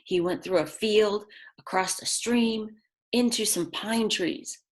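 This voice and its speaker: A woman reading a picture book aloud to young children, expressively and simply, with chatty asides to her listeners. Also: mid-range voice. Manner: slow, simple phrasing with dramatic emphasis and chatty asides. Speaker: children's read-aloud storyteller.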